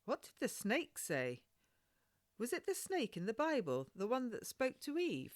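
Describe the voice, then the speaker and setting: A woman's voice: a little high, wildly swooping pitch, unhurried, dry recording, voice pushed up. A woman voicing a young servant girl in a storybook, curious and anxious, eagerly asking questions. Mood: insistent, curious.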